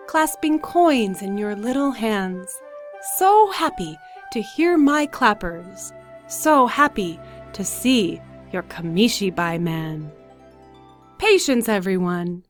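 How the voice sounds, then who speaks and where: A woman voicing a gentle, nostalgic old Japanese grandfather, a storybook street storyteller who murmurs hesitantly to himself. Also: a little high. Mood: inviting, nostalgic. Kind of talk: storybook character voice for children.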